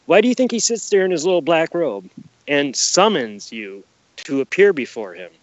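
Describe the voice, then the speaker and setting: A man's voice: on the high side, big pitch swings, moderate pace, dry recording. Emphatic man, dull lo-fi recording. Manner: emphatic, repeats key phrases, poses rhetorical questions. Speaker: podcast guest. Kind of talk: conspiracy-minded explanatory interview talk. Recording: dull, lo-fi sound.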